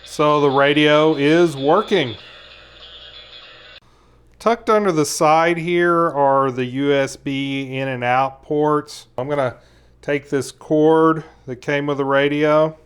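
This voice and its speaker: A man's voice: on the high side, takes it slowly, clear, resonant tone, faint music behind. A man giving a casual, methodical hands-on product review, explaining each step as he goes. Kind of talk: casual hands-on gadget demonstration.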